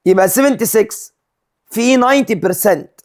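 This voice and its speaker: High-voiced Egyptian physics teacher. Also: male voice. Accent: Egyptian Arabic. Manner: mixes English terms and numbers into Arabic, reads questions slowly. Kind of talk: solving exam problems step by step.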